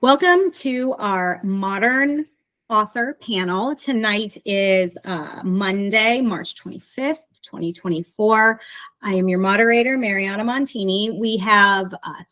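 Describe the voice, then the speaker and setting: A woman hosts a panel discussion over a video call as moderator. She is polite and appreciative toward her guests, with a mid-pitched voice. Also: a bit bright. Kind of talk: moderating an online author panel.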